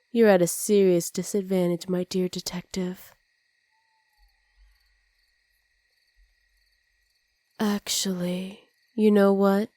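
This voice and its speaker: Woman acting a smug, mellow-voiced supervillainess. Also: lowish voice, dry recording, close to an everyday voice. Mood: smug, taunting. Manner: smug, teasing, commanding, often dropping to soft whispers. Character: dominant female supervillain with superpowers, teasing and possessive. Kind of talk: ASMR roleplay.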